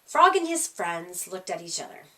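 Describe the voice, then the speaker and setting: A woman narrating a children's storytime with expressive, deliberate phrasing. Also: fairly low pitch, distant mic, poor audio. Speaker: story reader.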